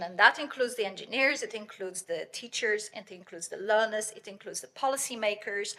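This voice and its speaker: A middle-aged woman delivering a keynote with a loud, earnest voice. She pitches a little high and breaks off often with "um" and soft laughs. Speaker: keynote speaker, professor. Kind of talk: conference keynote lecture.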